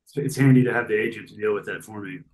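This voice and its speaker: A man, a guest musician chatting casually from a hotel room over a video call, relaxed and rambling with lots of fillers. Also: on the low side, very fast, rough recording. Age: 40s. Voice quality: gravelly. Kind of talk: casual interview chat.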